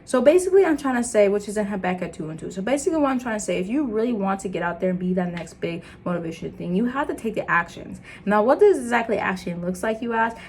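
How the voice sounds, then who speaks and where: A young woman with an American accent, born in Liberia and raised in the States, talking to camera in an earnest, animated motivational vlog. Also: fast, hardly any pauses, a little hiss or hum. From Liberia, raised in the United States. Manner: earnest and animated, laughing, jumping into high excited bits. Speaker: content creator. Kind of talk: casual motivational vlog talk.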